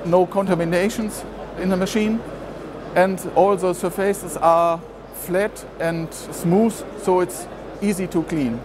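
Fiftyish man, high voice, explains a product in stilted English; trade hall noise clearly behind. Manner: explanatory, slightly halting non-native English. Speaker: product expert interviewed at trade fair. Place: large indoor trade fair hall. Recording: noisy trade fair hall.

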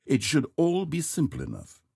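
An actor voicing a video game's narrator: a man with a polite, theatrical manner, breathy and fretful. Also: a little high, wildly swooping pitch, normal speed, a little mellow, no echo, heavily acted. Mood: smug. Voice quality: breathy, dry close-miked studio voice. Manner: polite, fretful, swinging between grandeur and self-doubt. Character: fussy, theatrical male narrator of a video game. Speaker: game narrator. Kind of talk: scripted game voice acting. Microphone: studio microphone.

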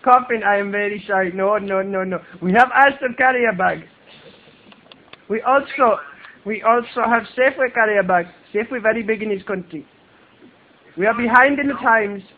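Guy doing a high squeaky foreign carpet seller, broken english, keeps going no no no, rude hard sell, tinny phone. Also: fairly even intonation, a little hiss or hum. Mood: pushy. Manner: insistent, rude, fast-talking hard sell. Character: pushy foreign male street trader, brash.

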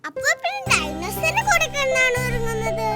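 A woman's voice: very high-pitched, sing-song, a little fast, over loud music. A woman voicing an eager, bubbly little girl bee in a children's cartoon. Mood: curious.

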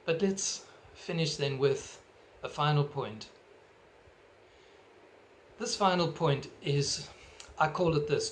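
A middle-aged South African pastor delivers an earnest home sermon, his voice sitting a little high for a man. He phrases things in measured, emphatic steps. A faint hum of room ambience sits under the webcam sound. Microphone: webcam at desk distance.

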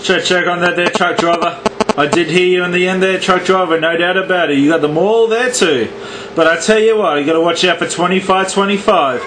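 A man's voice: sits high, a little fast. An Australian man chatting on CB radio in slang, with repetitive, showy catchphrases and sign-offs. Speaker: CB radio operator. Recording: static-laced radio ambience, background noise.

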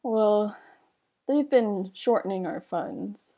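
Casting: female actor as hesitant guild mage for game roleplay, mellow, relaxed tone, mid pitch, halting delivery. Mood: subdued. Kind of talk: improvised fantasy game roleplay.

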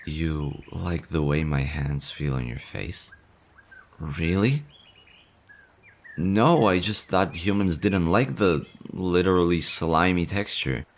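Nervous male slime monster, played by a man, stammers, anxious, low voice, soft birdsong behind. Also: takes it slowly, a little hiss or hum. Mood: shy. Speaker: voice actor. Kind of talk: audio roleplay.

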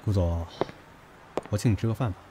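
An actor in an audio drama playing a brash, possessive younger businessman, a blunt, cocky and teasing lover who bickers gruffly. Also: fairly low pitch, a little fast, noisy background, natural voice. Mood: pushy, cocky.

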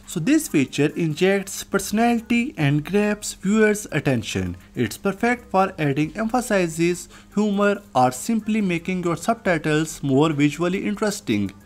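A software tutorial host reads a scripted narration. The voice sits at a middle pitch, with faint music underneath. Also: expressive, moderate pace, hardly any pauses. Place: voice-over, recorded off screen.

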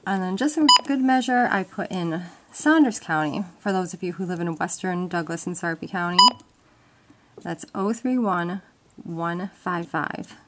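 Low-voiced woman explaining things. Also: a little slow. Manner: informal, explanatory. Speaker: instructor. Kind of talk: casual step-by-step demonstration. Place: indoors at a wooden table at home. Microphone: handheld camera microphone. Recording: noisy handheld home-video audio with clicks.

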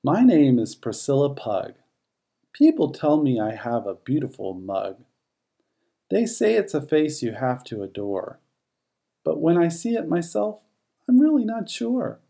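A man narrates a children's picture book in rhyme. His voice is warm and relaxed with some creak at the edges. He takes his time, letting the pitch rise and fall expressively through each verse. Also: measured pace. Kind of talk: read-aloud rhyming picture book narration.

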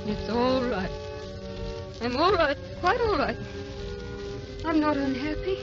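A tender, serene grandmother speaks very slowly in a high, wistful voice. She sounds weary and content, in an old radio play with music behind her. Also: big pitch swings, highs cut off, exaggerated voice. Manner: slow, wistful, repeating phrases. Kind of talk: 1930s-40s radio drama acting.

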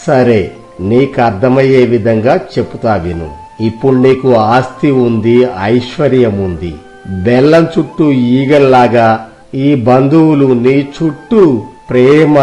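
A man acts out a wise male monk from a moral tale, over background music. He teaches patiently in a measured, fatherly way, with calm assurance. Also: mid-range voice, lo-fi sound, natural voice. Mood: patient, preaching. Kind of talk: Telugu moral story dramatization.

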